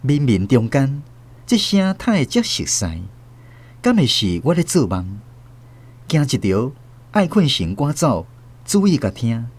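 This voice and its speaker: Casting: old Taiwanese man recalling lost love, emotive literary narration, animated mid-range pitch. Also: a little slow, pauses often. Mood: wistful, stirred. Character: elderly Taiwanese grandfather recalling a lost love, tender, wistful. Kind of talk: scripted radio drama narration.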